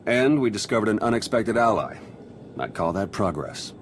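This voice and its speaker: An actor playing an earnest, resolute military officer in a video game. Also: male voice, lowish voice, lively intonation, normal speed, a little hiss or hum, close to an everyday voice.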